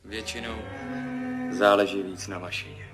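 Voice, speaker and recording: A man's voice: lowish voice, fairly even intonation, close to an everyday voice. An actor playing a wry, world-weary former military pilot talking intimately with his lover in a 1970s radio drama. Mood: wry, subdued. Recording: music clearly under the voice.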